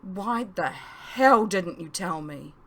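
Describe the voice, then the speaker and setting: A woman's voice: lowish voice, lively intonation, takes it slowly, heavily acted. A woman voicing an anguished, protective male mentor in an audiobook, speaking with emphatic, pleading intensity. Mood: furious.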